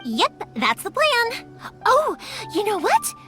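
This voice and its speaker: A woman's voice: very high-pitched, wildly swooping pitch, slow, heavily acted. A woman voicing a cheerful young fireworks maker in a video game, upbeat, chatty and caring. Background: game background music.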